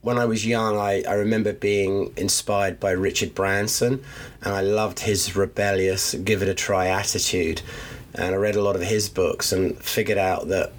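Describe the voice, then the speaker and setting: A man's voice: low voice, level tone, normal speed. A man who is a photographer, congested from the flu, explaining his ideas thoughtfully on a podcast from his studio over the line. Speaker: guest photographer. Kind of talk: podcast interview. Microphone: phone line.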